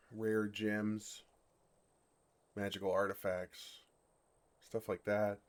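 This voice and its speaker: Man acting a low-voiced, flustered, smitten dragon. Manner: flustered, halting, earnest delivery. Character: bashful, smitten male dragon in human form. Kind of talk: ASMR romantic fantasy audio roleplay.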